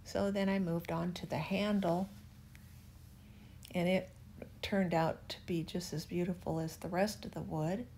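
An older woman narrating her furniture restoration in a relaxed, chatty way, gently enthusiastic about the wood. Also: on the low side, unhurried, pauses often, slight background noise. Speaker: furniture refinisher.

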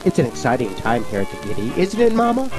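A man's voice: mid-range voice, wildly swooping pitch, fast, music in the background. A man playing an enthusiastic, courteous vaudeville song-and-dance puppet man in a staged interview. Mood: upbeat.

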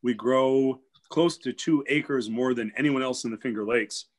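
A man's voice: medium pitch, moderate pace. Man in his forties, laid-back explainer, video call from home. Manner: relaxed, explanatory, with fillers. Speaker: winery sales director leading a tasting. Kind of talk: casual video-call talk. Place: home room with shelves, indoors. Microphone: video call webcam.

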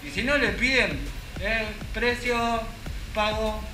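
Chatty Argentine man with a high voice. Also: takes it slowly, noisy background. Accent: Rioplatense Spanish. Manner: joking, chatty and upbeat. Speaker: motovlogger host. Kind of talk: casual vlog chat. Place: street and small ice cream shop. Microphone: helmet-mounted action camera.

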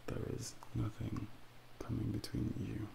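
A young man doing a soft, breathy ASMR role play as a calm Devil, soothingly guiding a listener into sleep with deliberate pauses, close to the mic. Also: lowish voice, a little hiss or hum. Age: young adult. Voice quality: breathy, half-whispered. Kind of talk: ASMR soft speaking, guided sleep hypnosis. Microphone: close binaural microphone.

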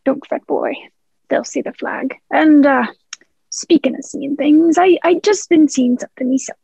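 Young woman acting a high, creaky pirate man. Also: moderate pace, warm tone, no room sound, dull audio. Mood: coaxing. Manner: salty pirate dialect. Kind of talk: stage-style radio play acting.

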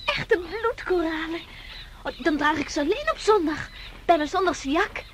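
A woman's voice: very high voice, sing-song, moderate pace. An actress playing a caring, curious young girl in a 1960s radio drama, eager and girlish. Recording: noisy 1969 radio play recording.

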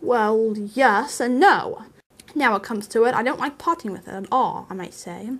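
A woman's voice: on the high side, normal speed. A woman voicing an elderly male hobbit, stubborn, touchy and possessive, in an emphatic, testy storybook reading. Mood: flustered, hesitant. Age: older.

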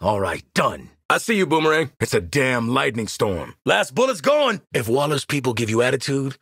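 A tough video game mercenary sniper, with a forceful, gravelly voice that sits fairly high and swings widely in pitch. He talks with cocky, streetwise swagger and wry, slangy profanity. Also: big pitch swings, normal speed, no room sound, clean studio sound, full character voice. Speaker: game character voice actor. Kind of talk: short video game combat and banter lines.

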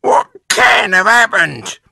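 A man voicing a shrill, gullible, panicky old wife in a children's storybook, wailing and drawing out the exclamations. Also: sing-song, vocal fry, bright, forceful tone, no echo, studio quality, heavily acted. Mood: bewildered alarm. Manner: shrieking, wailing, drawn-out exclamations.

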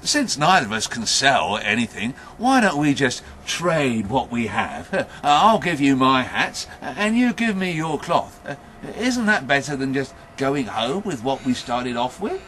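A man's voice: medium pitch, big pitch swings, unhurried, hardly any pauses, bright, forceful tone, a little hiss or hum, voice pushed up. Man playing a raised-voice, friendly hat seller. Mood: eager. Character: sad, friendly male hat seller of folktale. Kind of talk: live oral storytelling.

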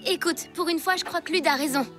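A woman's voice: very high-pitched, fast, music in the background. A woman voicing a sensible, matter-of-fact young girl in a French cartoon.

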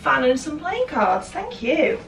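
A woman's voice: sits high, sing-song, a little slow, gravelly. A British woman in her twenties, bunged up with a cold, chatting casually and upbeat as she unpacks shopping and a parcel at home. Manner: chatty, upbeat, thinking aloud. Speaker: fashion vlogger. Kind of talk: casual vlog chat. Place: home kitchen, indoors. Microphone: camera mic from a distance. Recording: echoey kitchen, far from mic, loud noise.

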